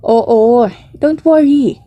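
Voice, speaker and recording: Coy, teasing young working woman for audio romance, read by a female narrator; slightly high, mellow voice. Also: drawn-out words, lo-fi sound. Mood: coy, playful. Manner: teasing, coy, mock-annoyed.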